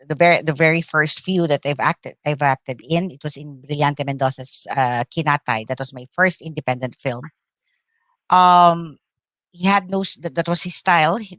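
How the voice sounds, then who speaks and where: Low-voiced Filipino woman, thoughtful. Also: a bit bright, no echo. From Philippines. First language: Tagalog. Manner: thoughtful, hesitant with many uhs. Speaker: actress, interview guest. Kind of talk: casual interview answers.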